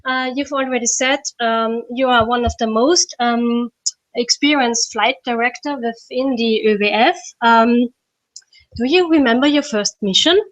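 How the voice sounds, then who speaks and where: A woman hosting a video panel, asking questions politely with soft fillers. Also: a little high, unhurried, no echo, rough recording. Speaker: host and interviewer.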